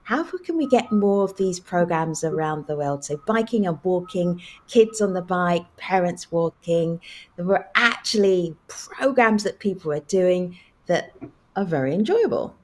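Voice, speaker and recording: A woman's voice: on the low side, animated, unhurried, a little mellow. A woman moderating an online panel, relaying audience questions and calling on panelists.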